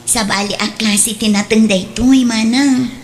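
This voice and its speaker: A woman's voice: on the high side, fairly even intonation, a little slow, lightly acted. A woman playing an earnest, persevering younger sister who sells at the market, in a radio drama. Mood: earnest, hopeful.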